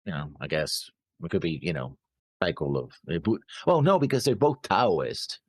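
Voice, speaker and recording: A man with a very deep voice and a lively, swooping pitch chats about an anime episode. He speaks haltingly, often stopping and trailing off as he works through his notes. The sound is dry and close. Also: slightly rough, dry recording. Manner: hesitant, halting, trails off at the ends of phrases. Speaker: reaction show co-host. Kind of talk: casual discussion of an anime episode.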